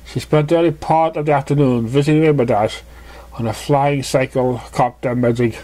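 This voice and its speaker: A man narrates a written story in a mellow, relaxed voice. His pitch is average and his reading is a little halting, like an amateur working through the text. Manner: halting reading with misread words. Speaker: story reader. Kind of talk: scripted narration.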